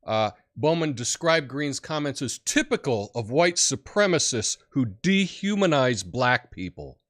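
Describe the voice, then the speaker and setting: A man hosting a political commentary show, who talks in an opinionated, rambling way with many fillers and has a big booming voice. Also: big pitch swings, measured pace, fairly bright tone. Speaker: podcast host.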